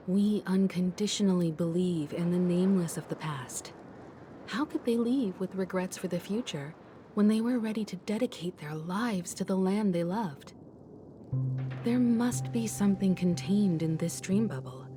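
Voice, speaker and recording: An actress playing a composed, idealistic young woman adventurer in a game, speaking in an earnest, measured way. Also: on the low side, dark tone, a little hiss or hum, close to an everyday voice.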